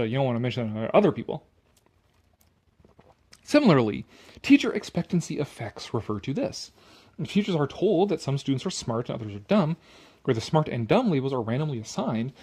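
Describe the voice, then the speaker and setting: A man's voice: medium pitch, big pitch swings, brisk, a little mellow, breathy edge. A Canadian man giving casual, opinionated commentary on an article he reads aloud, sarcastic, exasperated and given to swearing.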